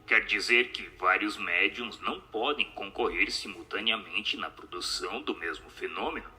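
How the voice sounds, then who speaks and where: A man reading scripted narration aloud in a formal, measured, didactic manner. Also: lowish voice, bright, forceful tone, soft background music. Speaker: narrator of an audio book adaptation.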